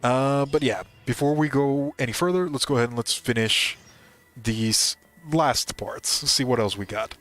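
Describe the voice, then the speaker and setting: A man's voice: mid-range voice, moderate pace, a bit bright, soft background music. A man giving casual, musing commentary as he streams a game. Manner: musing, speculative.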